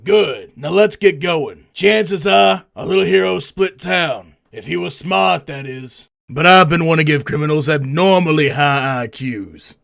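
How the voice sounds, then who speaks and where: A man's voice: a little high, measured pace, gravelly, few pauses, fairly bright tone. A man voicing a brash, sarcastic police detective in a comic dub, with a blustering, domineering manner. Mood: wry.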